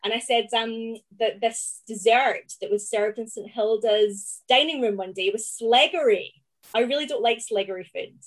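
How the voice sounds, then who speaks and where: Glaswegian woman, academic guest, chatty and animated, with a slightly high voice. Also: normal speed, low-quality recording. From Scotland. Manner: chatty, animated, laughs often. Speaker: classics researcher, guest. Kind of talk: conversational podcast interview.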